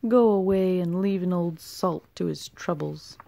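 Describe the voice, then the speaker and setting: A woman puts on a salty old sea captain for a children's storybook. He is a blustery male sailor who speaks loudly and with emphasis. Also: fairly low pitch, a little slow, creaky. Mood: grumbling.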